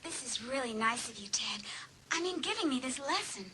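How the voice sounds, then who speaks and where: A woman acting emotional, excitable teenage girls in a dramatised audiobook. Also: sits high, normal speed, a little hiss or hum. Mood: soft, confiding. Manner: excitable, exclamatory.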